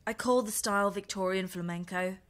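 An actress playing a flustered, indignant reluctant guest in a horror-comedy audio drama, with a prim manner. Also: female voice, mid-range voice, fairly even intonation, a little fast, lightly acted. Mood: shaken, indignant. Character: flustered, indignant English woman, romance novelist, reluctant guest.

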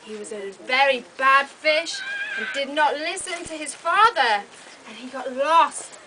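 Very high-pitched woman telling a children's story. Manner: exaggerated, questioning, addressing children in simple phrases. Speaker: costumed storyteller narrating a children's puppet show. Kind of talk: animated storytelling to young children. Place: open-sided thatched hall, on a small stage. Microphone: camera some metres away. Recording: noisy open-hall recording, camera at a distance.

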